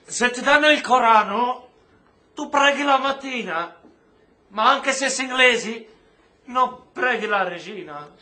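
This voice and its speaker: An actor playing an earnest, naive, devout Afghan prisoner in a radio drama, speaking simply and hesitantly. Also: male voice, very high voice, lively intonation, a little slow, sharp tone, exaggerated voice. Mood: eager. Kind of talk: stage-style radio drama acting.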